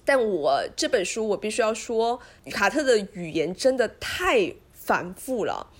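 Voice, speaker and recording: Lively woman with swooping pitch. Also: unhurried. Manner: expressive, mixes in English words. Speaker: podcast co-host. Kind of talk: casual book discussion.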